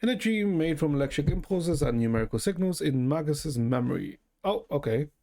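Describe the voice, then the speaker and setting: A man's voice: a little high, expressive, brisk, warm tone. A man streaming a game, reading its dialogue and narration aloud and adding casual commentary. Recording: game music faintly behind.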